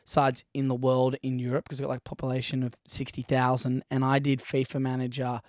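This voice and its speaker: A man's voice: medium pitch, completely flat delivery, fairly quick, darkish tone, no echo, clean studio sound. A man co-hosting a podcast in casual chat, rambling through anecdotes and laughing as he goes. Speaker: podcast co-host.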